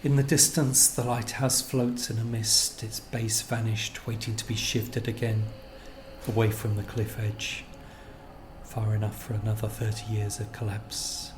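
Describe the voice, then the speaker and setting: A man reads literary narration in a low voice, very slowly and with little melodic movement. His storytelling is measured and reflective, over clearly audible ambient noise. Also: flat delivery. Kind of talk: scripted literary narration of location-based stories.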